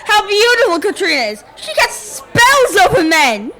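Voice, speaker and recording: A woman's voice: very high voice, very animated, normal speed, pushed and bright, exaggerated voice, rough recording. A girl playing an indignant, suspicious village gossip in a school radio play. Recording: crowd chatter behind.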